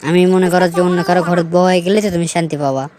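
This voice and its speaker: A woman's voice: on the low side, fairly even intonation, racing, a little mellow, a little hiss or hum, lightly acted. A young woman playing a nagging, impatient girlfriend who scolds in a comedy cartoon. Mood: sulky warning.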